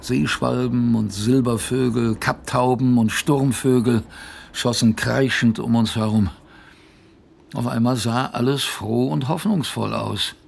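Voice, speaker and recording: An actor playing a haunted, plainspoken expedition survivor who recounts hardship in dramatic, halting audio drama narration. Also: male voice, mid-range voice, steady tone, vocal fry, husky, exaggerated voice. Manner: dramatic, halting with emphatic repetition.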